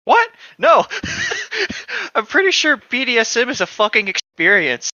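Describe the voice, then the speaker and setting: A man's voice: extremely high pitch, wildly swooping pitch, unhurried, sharp tone, no echo, lo-fi sound. A man co-hosting a podcast, reading a novel aloud and breaking off for blunt, irreverent joking commentary. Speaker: podcast co-host.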